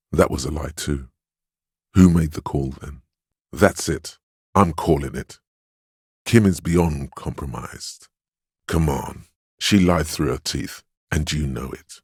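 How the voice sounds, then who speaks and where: The inner voice of willpower in a video game. It is an extremely deep, slightly husky male voice, stern and weary, recorded dry and close. It narrates and admonishes deliberately, with grave, wry protectiveness. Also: a little mellow, no echo, full character voice. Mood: exasperated, grave. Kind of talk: dramatic second-person game narration and inner-voice dialogue.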